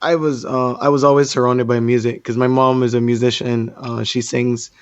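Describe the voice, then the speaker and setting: A man from Barbados, a DJ guest in a casual interview, relaxed and quick to laugh. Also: mid-range voice, dry recording, highs cut off. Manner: relaxed, laughs easily, loose fillers.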